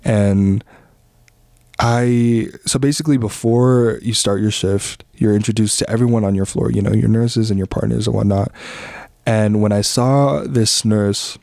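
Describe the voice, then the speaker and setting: A young man from the Philippines hosting a podcast, talking in a relaxed, rambling way with lots of fillers and slang. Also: lowish voice, a little slow, vocal fry, no room sound, studio quality. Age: young adult. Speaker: podcast host. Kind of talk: casual reflective monologue.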